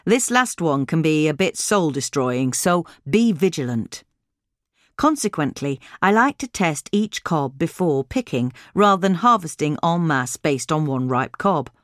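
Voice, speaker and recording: A woman reads instructional narration in a fairly low voice. Her intonation moves around in a lively way, and the recording is close and dry. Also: measured pace, dry recording. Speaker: reader of a gardening magazine article.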